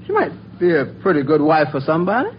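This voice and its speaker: Wry tavern sidekick, high voice, dry punchlines, muffled vintage 1940s radio sound. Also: male voice, wildly swooping pitch, quick pace. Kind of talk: 1940s radio comedy acting. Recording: muffled, very noisy old radio recording.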